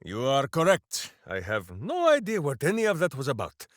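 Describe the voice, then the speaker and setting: An actor voicing a big, simple-minded, hearty berserker hero in a fantasy video game, declaiming with bombastic earnestness. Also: male voice, fairly high pitch, huge pitch swings, moderate pace, no room sound, clean studio sound. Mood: earnest, boastful. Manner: bombastic, earnest, grandly declaiming, speaks of himself by name. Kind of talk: theatrical fantasy video game character acting.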